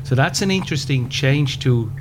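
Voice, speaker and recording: Swiss German-accented man, steady humming background. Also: medium pitch. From Switzerland. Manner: measured, with frequent pauses and "uh" fillers. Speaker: podcast guest, business owner. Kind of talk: casual interview answers. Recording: background hum.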